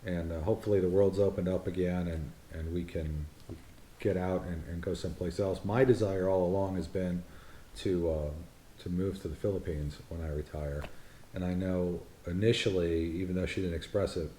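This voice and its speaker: A man's voice: low voice, fairly even intonation, normal speed, dark tone, reverberant, a little hiss or hum. A man in his fifties from the United States, co-hosting a casual vlog and chatting in a rambling, teasing way with frequent restarts. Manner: rambling, self-correcting, teasing. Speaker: vlog co-host. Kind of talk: casual chat.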